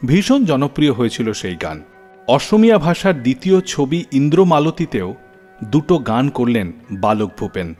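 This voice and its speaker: A man hosting a radio show, telling scripted stories dramatically with deliberate pauses. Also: mid-range voice, a little mellow. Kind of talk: scripted storytelling radio narration. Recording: long reverb, music bed behind. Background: music.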